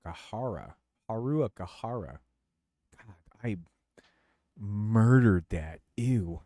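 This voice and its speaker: A man's voice: on the low side, expressive, slow, dark tone, no echo, studio quality. A man casually chatting to his stream while tuning and driving in a racing game, joking and talking to himself. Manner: rambling, jokey, self-talk while tuning. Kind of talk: casual gameplay commentary. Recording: noisy game audio behind voice. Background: racing game engine sounds.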